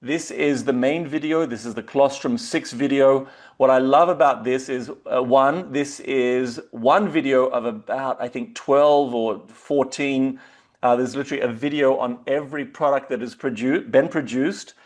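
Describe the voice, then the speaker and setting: A man's voice: medium pitch, normal speed. A man with an Australian accent hosting a webinar, talking loosely and casually with frequent fillers. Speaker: webinar host. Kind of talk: casual presentation.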